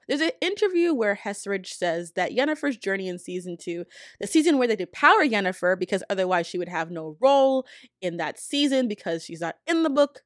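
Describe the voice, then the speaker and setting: A woman's voice: mid-range voice, no room sound, studio quality. A woman in her thirties giving informal, emphatic, opinionated commentary as a video essayist. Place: small room at home. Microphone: close desk microphone on arm. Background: background music.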